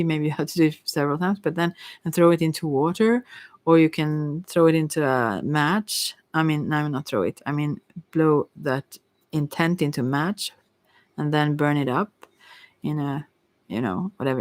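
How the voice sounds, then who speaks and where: A psychic tarot reader speaking in a gentle, consoling ASMR style, rambling and often correcting themselves while giving unscripted advice. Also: medium pitch, no echo.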